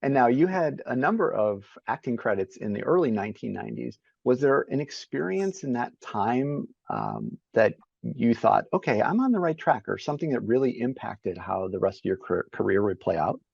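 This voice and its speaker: A man hosts a podcast and puts measured, researched questions to his guest. His voice is low, gravelly and a little husky. It comes over a dry, dull, lo-fi recording. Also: big pitch swings, a little mellow, no echo. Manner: measured, prepared questions with career facts. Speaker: podcast host. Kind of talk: interview questions.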